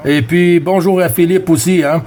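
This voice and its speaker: A man with a Quebec French accent chatting casually as a CB radio operator, friendly, with halting phrasing and repeated words. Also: on the high side, steady tone, darkish tone. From Quebec, Canada. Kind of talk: casual radio chat with callsigns.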